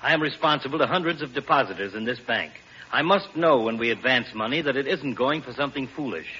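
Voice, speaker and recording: Through a dull vintage radio recording comes an exasperated American banker of 1940s radio comedy. His voice is very bright and forceful, with a husky edge. He lectures and scolds in blustering indignation. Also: medium pitch, expressive, fast talker, exaggerated voice. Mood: stern, exasperated.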